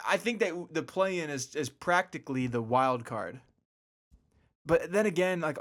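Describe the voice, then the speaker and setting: A man hosting a casual sports and entertainment podcast, excitable, often stammering and repeating words as he goes. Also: fairly high pitch. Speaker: podcast host.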